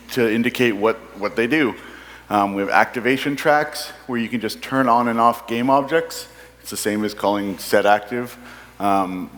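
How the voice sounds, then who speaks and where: A man's voice: mid-range voice, a bit bright. A man giving a product presentation at a conference as a product manager. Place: large indoor conference hall. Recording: echoey hall with long reverb.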